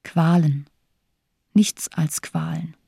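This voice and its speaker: A woman's voice: low-pitched, level tone, slow pace, creaky, dark tone, husky, dry recording, clean studio sound, full character voice. An actress playing a bitter, cynical morphine-addicted woman doctor in a radio drama, declaiming with sardonic eloquence. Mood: grim, scornful.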